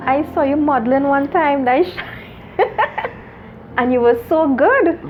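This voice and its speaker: A woman hosting a talk show, earnest and measured, laughing often with her guest. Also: high voice, lively intonation, phone-quality sound, faint music behind. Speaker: host.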